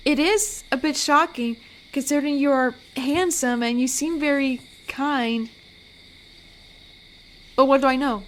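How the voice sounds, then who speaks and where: An amiable werewolf woman, played by a voice actress in an intimate audio roleplay, speaking in a fairly high voice at an unhurried pace. She is a chatty, slightly awkward forest guardian with a gentle way about her. Crickets and night sounds sit faintly behind her. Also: slight background noise.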